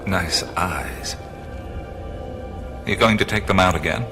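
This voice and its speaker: Slow, wry adventurer, low-voiced. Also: male voice. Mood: wry unease. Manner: wry, halting, muttering reactions. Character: wry, uneasy adventurer hero, adult American man. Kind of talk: radio drama acting. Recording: voice over loud music bed.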